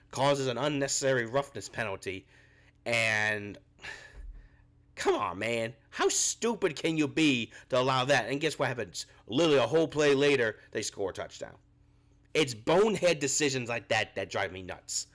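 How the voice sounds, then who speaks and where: A man's voice: mid-range voice, animated, moderate pace, fairly bright tone. A young man hosting a postgame sports reaction show, fighting a cold and sounding a bit scratchy, ranting in frustration. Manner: frustrated ranting, rising when angry. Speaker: podcast host.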